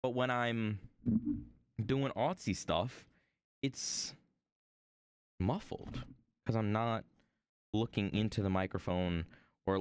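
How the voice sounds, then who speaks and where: A man streaming from home while he draws, chatting about his desk microphone in a rambling, self-deprecating way, his voice a little hoarse. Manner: rambling, self-deprecating, lively swings in pitch. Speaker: art streamer. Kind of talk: casual stream chat.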